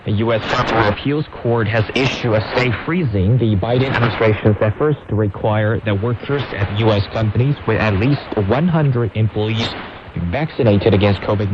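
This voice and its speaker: A man reading scripted radio news as an anchor, heard over shortwave radio. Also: lowish voice. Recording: noisy, dull shortwave radio reception.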